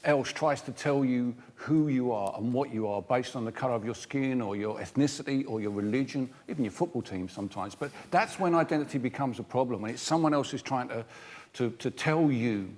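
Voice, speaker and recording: A man's voice: medium pitch, fairly quick, a little mellow. A man speaking as a panellist in a live theatre discussion, often repeating his opening phrases as he reasons. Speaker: debate panellist. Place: on stage in a theatre.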